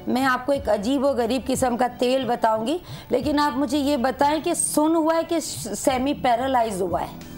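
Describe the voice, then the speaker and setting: A woman's voice: sits high, a little slow, darkish tone, soft background music. A woman, a doctor on a call-in show, giving callers long, rambling explanations. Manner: chatty, explanatory, rambling, mixing in English words. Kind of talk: advice to callers in a TV studio.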